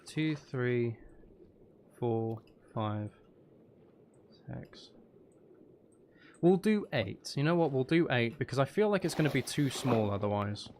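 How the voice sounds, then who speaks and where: A man's voice: medium pitch, animated, slow pace, pauses often, a little mellow, slight background noise. A man streaming casually, thinking aloud and questioning himself as he works through a building task and chats with viewers. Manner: musing, self-questioning, talking half to himself. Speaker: live streamer. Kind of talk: casual gaming livestream chat, thinking aloud while building.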